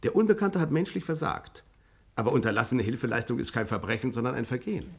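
Husky, creaky court president. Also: male voice, medium pitch, sing-song, heavily acted. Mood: earnest, appeasing. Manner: measured, official, hesitant when pressed. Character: formal, fair-minded older male court president. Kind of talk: German radio play acting.

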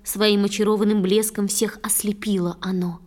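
A woman narrator telling a fairy tale in expressive verse, as in an audio play for children. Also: mid-range voice, moderate pace. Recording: studio narration over soft music.

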